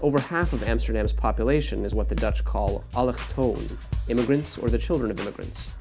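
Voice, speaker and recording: A male correspondent narrates a news report in a measured, even voice at a middle pitch. The delivery is steady and scripted. Faint music plays softly underneath.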